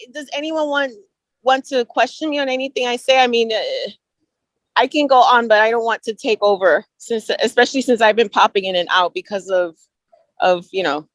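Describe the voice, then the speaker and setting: A woman's voice: fairly high pitch, a bit bright, no echo, poor audio. A woman making casual, informal remarks as a panelist.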